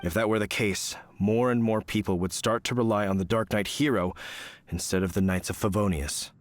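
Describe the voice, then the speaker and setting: An actor playing an earnest, dutiful knight in a video game, formal and explanatory in manner. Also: male voice, on the low side, steady tone, fairly quick, lightly acted. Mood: troubled. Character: earnest, dutiful male knight, a worried subordinate. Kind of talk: video game character acting.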